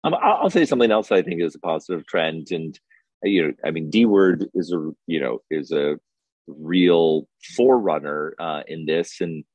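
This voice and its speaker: A man giving a conversational interview answer as a guest, halting and searching for his words. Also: lively intonation, a little mellow, no echo. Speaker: film festival director, panel guest. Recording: muffled, boomy room sound.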